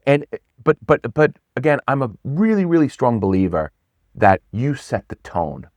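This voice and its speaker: A middle-aged man, a pitch coach, talking casually in an interview, animated, often restarting and repeating words. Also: normal speed, no echo. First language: not Swedish; English speaker.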